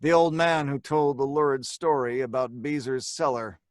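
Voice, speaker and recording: An actor playing a hard-boiled crook with an American accent, dramatically acting and narrating in old-time radio horror style. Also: male voice, medium pitch, steady tone, normal speed, no echo, clean studio sound, close to an everyday voice. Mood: dread. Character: Stanley, hard-boiled male crook narrating his own doom.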